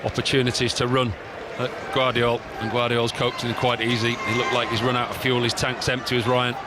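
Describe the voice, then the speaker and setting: A man giving relaxed, conversational match analysis as a co-commentator. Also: mid-range voice, brisk. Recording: loud background noise.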